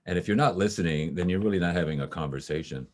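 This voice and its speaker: Really deep voiced guy hosting an interview, affirming, asks the next question. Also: fast, a little mellow. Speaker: host. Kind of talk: casual interview.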